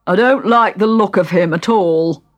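A woman's voice: medium pitch, a little slow, no echo, natural voice. A woman voicing a fussy, pompous storybook king who blusters and complains. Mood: suspicious, fussy.

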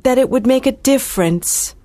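An actress playing a timid, lovesick young heiress in a dramatized audiobook, hesitant and pleading. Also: female voice, high voice, normal speed, mellow, close to an everyday voice. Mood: earnest, devoted.

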